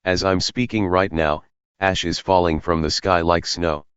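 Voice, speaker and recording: Radio DJ, acted by a man: low, resonant male voice, polished and serious, on slightly muffled audio. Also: fairly even intonation, a little slow, fairly bright tone, clear, resonant tone, no room sound. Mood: grave, composed. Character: male music-station radio host breaking grave local news. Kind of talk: scripted radio station announcement.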